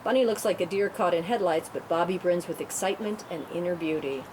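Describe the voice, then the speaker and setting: Woman reading script narration, kinda low voice, noisy recording. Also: quick pace, darkish tone. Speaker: narrator reading stage directions. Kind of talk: scripted narration at a table read. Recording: noisy room, rumbling ambience.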